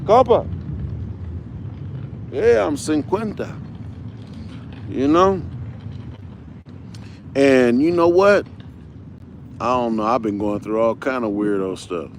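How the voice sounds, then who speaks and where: Unwell man of fifty, livestream host, loose slangy ramble, unhurried with a lively pitch. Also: a little hiss or hum. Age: 50s. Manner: rambling, street slang, repeats himself. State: unwell, tired. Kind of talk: casual rambling livestream talk.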